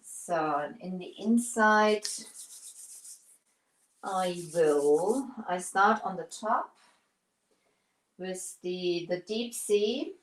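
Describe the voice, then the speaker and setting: A woman from Germany with a German accent, English not her first language, hosting a casual live painting stream, pausing to search for words and greeting viewers. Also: lowish voice, echoey room, poor audio. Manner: searches for words, restarts often, chats to viewers. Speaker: painting livestream host.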